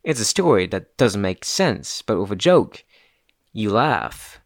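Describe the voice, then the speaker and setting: An actor playing an infamous jester in an audio drama, brooding and mocking, with a merry facade over bitter, nihilistic darkness. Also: male voice, big pitch swings, measured pace, creaky, dry recording, clean studio sound, heavily acted. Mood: scornful, philosophical. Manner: brooding, mocking, with swings in loudness and pitch. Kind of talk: theatrical dramatic monologue.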